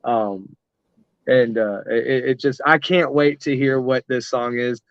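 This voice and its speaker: A man's voice: mid-range voice, steady tone, unhurried, no echo, muffled recording. A man hosting a casual livestream, rambling with many fillers and restarts as he talks to his chat. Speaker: livestream host.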